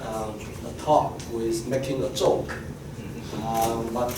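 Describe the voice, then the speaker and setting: A man giving a conference keynote in a soft, courteous, formal way, stuffy from allergies. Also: medium pitch. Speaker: keynote speaker, geographer. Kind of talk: academic conference talk. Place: conference room. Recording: very echoey room, distant mic, noisy.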